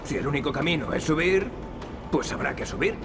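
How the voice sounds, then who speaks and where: An actor playing a cocky, wisecracking young adventurer hero in a video game. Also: male voice, on the high side, expressive, a little fast, lightly acted. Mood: wry determination. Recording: loud game music behind. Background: music.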